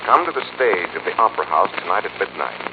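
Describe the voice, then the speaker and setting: Deep, menacing avenger of old radio. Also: male voice, animated, fast. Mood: commanding, ominous. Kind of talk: 1940s radio mystery acting. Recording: muffled, noisy 1940s radio recording.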